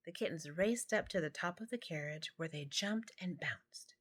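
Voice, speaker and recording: A woman's voice: low voice, moderate pace. A woman reading a children's picture book aloud, stumbling now and then over words. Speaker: amateur storybook reader.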